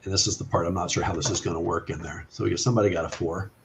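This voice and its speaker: A man with a slightly low voice narrates a tabletop game as game master. He speaks haltingly and thinks aloud as he goes. There is faint noise on his online call. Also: steady tone.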